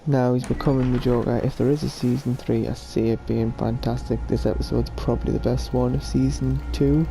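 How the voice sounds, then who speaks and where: Male gaming YouTuber, casual let's play commentary, gravelly edge, fairly flat delivery, game music behind. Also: medium pitch, brisk, rough recording.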